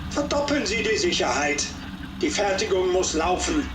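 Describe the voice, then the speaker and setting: A man's voice: high voice, very animated, moderate pace. A man voicing a commanding, menacing Nazi superior in a video game, imperious and emphatic. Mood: angry, commanding. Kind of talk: dramatic game cutscene dialogue. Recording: distant, echoing, clearly noisy.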